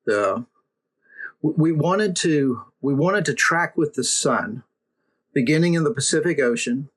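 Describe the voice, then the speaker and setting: A man in a podcast interview with an everyday mid-range voice. He talks earnestly and haltingly, doubling back on words as he gathers his thoughts. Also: mid-range voice, a bit bright, rough recording. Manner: hesitant, with repeated words and fillers, earnest. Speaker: podcast guest, missions filmmaker. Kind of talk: conversational interview.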